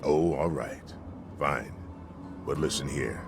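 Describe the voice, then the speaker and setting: Game role: folksy small-town lawman, extremely deep, drawling, plain-spoken, music underneath. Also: slow pace, full character voice. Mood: grudging. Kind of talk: video game character dialogue. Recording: music behind the voice.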